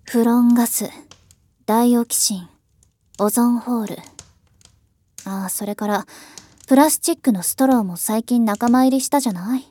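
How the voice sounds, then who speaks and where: A woman's voice: on the high side, mellow, no echo, natural voice. A woman playing a world-weary, ironic elder sister in a voice drama, musing wistfully. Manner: slow, wistful, rhetorical musing. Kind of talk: Japanese voice drama acting.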